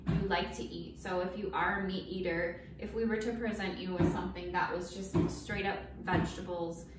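A woman's voice: on the low side, fairly even intonation, normal speed. A woman in her thirties co-hosting a cooking segment, explaining tips and asking the cook questions. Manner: friendly, explanatory, asks questions. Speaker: co-host. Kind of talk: casual cooking show chat. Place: home kitchen, indoors. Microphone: far camera mic. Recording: echoey kitchen, distant mic, noisy.

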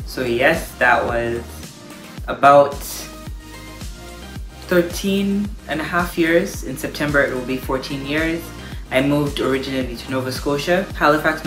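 A woman in her thirties from Uganda, a pastor giving lively, emphatic advice on a couch, repeating phrases for stress. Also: a bass voice. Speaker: pastor and guest. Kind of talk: casual advice talk. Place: living room, on a couch. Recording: roomy echo, faint music.

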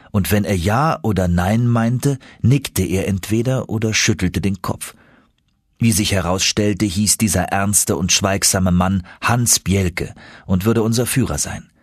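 Low, husky male audiobook narrator. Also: no room sound, clean studio sound. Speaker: audiobook reader. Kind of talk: scripted first-person audiobook narration of an adventure novel.

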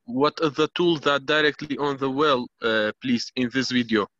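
Man, polite hesitant student with a bright, sharp voice; dry, dull video-call sound. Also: mid-range voice, normal speed, no room sound. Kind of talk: asking a question in class.

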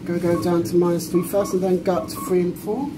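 A woman's voice: lowish voice, level tone, brisk, noisy background. A woman giving casual running commentary on floors and specs while filming inside a mall lift on a handheld camera. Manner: plain narrating of floors and specs. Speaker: vlogger filming lifts. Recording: loud music under the voice. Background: loud music.

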